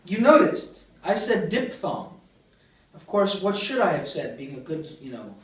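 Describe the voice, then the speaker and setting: A higher-pitched man with a Greek accent teaches a university class, explaining step by step and quizzing his students. He is recorded by a camera across a small classroom, so his voice sounds distant and echoey. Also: big pitch swings, a little slow. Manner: explanatory, asks the class questions, paces at whiteboard. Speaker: linguistics lecturer. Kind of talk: university lecture. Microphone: far camera.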